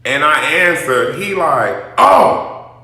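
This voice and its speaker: A man's voice: fairly high pitch, expressive, takes it slowly, sharp tone. A man telling a personal story to an audience in a casual, blunt, emphatic rant full of swearing. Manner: emphatic, blunt, profanity-laden, addresses listeners directly. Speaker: storytime host addressing an audience. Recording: very echoey room.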